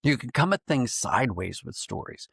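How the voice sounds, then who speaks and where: A man's voice: mid-range voice, big pitch swings, normal speed, vocal fry, fairly bright tone, breathy edge, no echo, clean studio sound. An American man speaking as an interview guest in a thoughtful, explanatory way, sometimes repeating phrases as he works out a point. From Pennsylvania, United States. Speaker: podcast guest, writer and audio drama producer. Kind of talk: reflective interview conversation.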